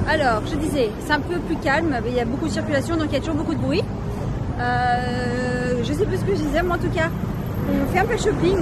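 A young woman vlogging casually while walking around a city, chatting in a rambling way with many fillers, filmed at arm's length. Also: sits high. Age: young adult. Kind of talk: casual travel vlog. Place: busy city street outdoors. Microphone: handheld camera at arm's length. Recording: noisy street, loud crowd. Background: loud traffic and crowds.

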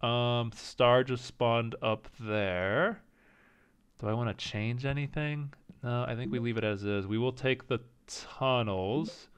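A man casually commenting as he plays a strategy game, thinking aloud and second-guessing his choices, with a slight rasp and an occasional cough. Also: medium pitch, slow pace. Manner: thinking aloud, indecisive, self-talking. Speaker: gaming channel host. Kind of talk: casual let's-play commentary.

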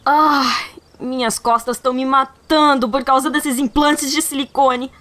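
Lady doing whiny game heroine, high voice, complaining, music going behind her. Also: steady tone, a little slow. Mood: pained complaint. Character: whining female action-game adventurer. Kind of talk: video game parody dub.

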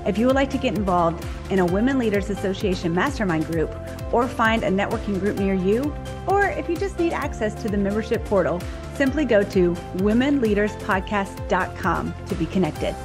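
A woman in her thirties opens the podcast with a polished, upbeat scripted welcome. She talks into a headset mic. Clear music plays underneath her voice. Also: mid-range voice, animated, brisk. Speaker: podcast host. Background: background music.

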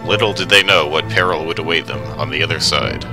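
A man's voice: extremely low pitch, fast. A man narrating an adventure radio drama in a scripted storytelling style. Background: music.

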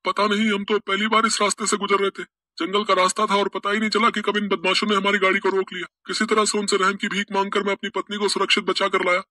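A man voicing a kind, grateful rich businessman in an animated story, speaking in a raised voice. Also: high voice, fast, no echo, pitched above the performer's own voice. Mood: earnest.

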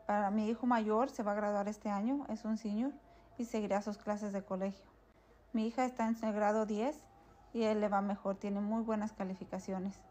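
A middle-aged woman from Mexico, a mother speaking about her children in steady, plain at home. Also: medium pitch, quick pace. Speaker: parent of students. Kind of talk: documentary interview. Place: living room at home.

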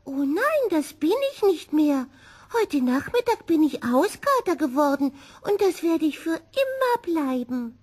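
A small, gentle witch's kitten from a children's storybook, played by a woman. He speaks in a raised, high voice with an innocent, earnest manner. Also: big pitch swings, measured pace. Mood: earnest denial.

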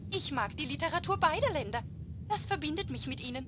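A polite, bookish comms officer. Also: female voice, sits high, animated, fast, noisy background. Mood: earnest. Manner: polite, quotes sayings. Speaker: radio support officer. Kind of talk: German game dub.

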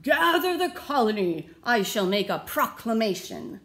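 A woman's voice: fairly high pitch, very animated, measured pace, a bit bright, full character voice. A woman voicing a wise, regal old grandpa mole rat who speaks in stately proclamations, from a picture book. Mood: commanding.

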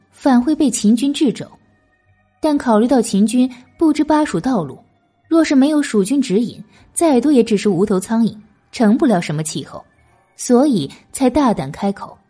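Husky, expressive woman narrator. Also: on the high side, moderate pace, faint music behind. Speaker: audiobook narrator. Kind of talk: scripted novel narration.

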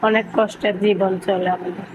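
A woman's voice: mid-range voice, level tone, normal speed. A young woman with a Bangladeshi accent giving plain, colloquial answers in a casual on-camera interview. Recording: dull lo-fi audio with loud background noise.

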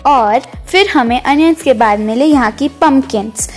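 A woman's voice: high voice, normal speed. A woman giving a chatty, casual vlog voice-over, mixing in English words. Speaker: vlog narrator. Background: background music.

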